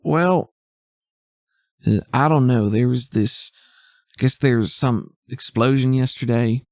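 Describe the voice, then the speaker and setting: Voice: mid-range voice, unhurried, a little mellow, dry recording, clean studio sound, lightly acted. A game master voicing a smug, evasive female thief with a slangy, cocky, grumbling way of talking in a tabletop game. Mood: defensive, grumbling. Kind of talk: improvised tabletop roleplay.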